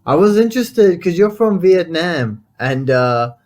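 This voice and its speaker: Australian man hosting a podcast. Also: high-pitched. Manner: relaxed, encouraging, quick asides. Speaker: podcast host. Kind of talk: casual podcast interview.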